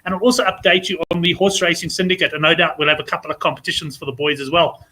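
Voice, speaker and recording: A South African man with a raspy voice, hosting a casual, chummy chat show. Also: fairly high pitch, fairly even intonation, quick pace, bright, forceful tone, poor audio. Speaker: show host.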